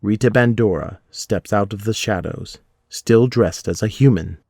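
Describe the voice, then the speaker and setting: A man's voice: fairly low pitch, unhurried, gravelly, a little mellow, no echo, studio quality. A man narrating a scripted audio drama, setting each scene in the present tense.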